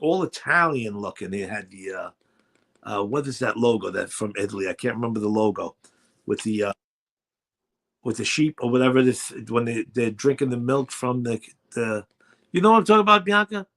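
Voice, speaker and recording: A man's voice: mid-range voice, moderate pace, fairly bright tone. A man in his sixties with a New York accent, hosting a radio chat show in a rambling, chatty way. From Brooklyn, New York. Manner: rambling, chatty, many fillers. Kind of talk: casual chat.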